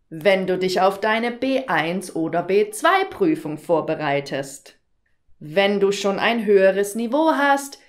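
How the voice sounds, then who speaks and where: A woman in her thirties from southern Germany, a German teacher presenting a lesson to learners in a clear, didactic way with careful enunciation. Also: medium pitch. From southern Germany, near Stuttgart. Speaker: German teacher, workshop host. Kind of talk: instructional presentation to camera, addressing learners directly. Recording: roomy, echoing room sound.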